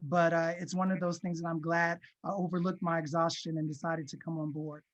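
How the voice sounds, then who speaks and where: A man's voice: fairly high pitch, little pitch movement, a little fast, highs cut off. An American man speaks as a director being interviewed. He answers in a conversational, storytelling style, explaining his work in full, flowing sentences. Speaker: film director, interview guest.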